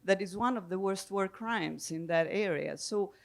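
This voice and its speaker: A woman's voice: mid-range voice, normal speed, gravelly. Woman explaining history on a panel. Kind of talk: spontaneous explanatory panel talk. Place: live public event with audience questions.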